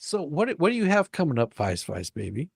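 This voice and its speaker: A man's voice: fairly high pitch, wildly swooping pitch, a little fast, vocal fry, husky, dry recording, clean studio sound. A man hosting a live stream, chatting casually and jokingly with his co-hosts. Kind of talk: casual group chat, joking.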